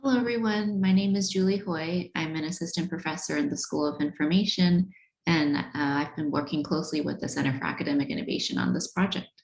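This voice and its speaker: A woman's voice: sits low, quick pace, a little mellow, echoey room, slight background noise, low-quality recording. A woman, an assistant professor, speaking briefly and conversationally in a webinar. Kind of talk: casual webinar remarks.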